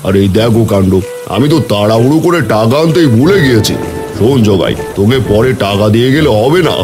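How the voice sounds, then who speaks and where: A man's voice: medium pitch, animated, quick pace, lightly acted. Man playing a self-important village headman, music behind. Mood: scheming. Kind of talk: Bengali cartoon story voice acting.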